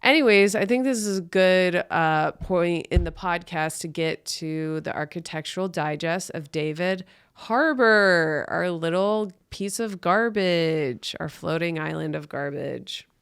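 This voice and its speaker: A woman's voice: on the low side, unhurried, hardly any pauses, clean tone, no echo, clean studio sound. A woman hosting a comedy podcast, riffing in a blunt, sweary, exaggerated and irreverent way. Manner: blunt, sweary, exaggerated, breaking into mock voices.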